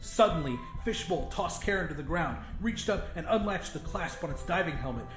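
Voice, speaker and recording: A man's voice: a little high, lively intonation, moderate pace. A man reading a scripted horror story as an audiobook narrator. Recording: roomy echo with music. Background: music.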